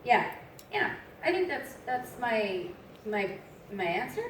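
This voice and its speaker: A woman with a raspy voice, a voice actress, answering fan questions in a casual, self-deprecating way. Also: a little high, sing-song, takes it slowly, over a noisy crowd. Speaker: voice actress, convention guest. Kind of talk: panel Q&A answers. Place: large convention hall. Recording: echoey hall, distant mic.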